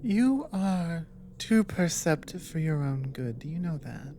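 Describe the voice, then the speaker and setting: A woman voicing a grave, war-weary female dragon in an ASMR roleplay, speaking earnestly and haltingly with dramatic pauses. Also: deep voice, very animated, dark tone, slight background noise, full character voice. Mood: reluctant admission.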